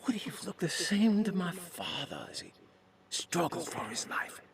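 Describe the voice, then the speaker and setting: Casting: tormented, melancholy man, game monologue, creaky higher-set voice, anguished and theatrical. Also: wildly swooping pitch, normal speed, slight background noise, heavily acted. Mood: fearful whisper. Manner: theatrical, anguished, poetic delivery. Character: haunted, tormented, melancholy man reciting his written laments.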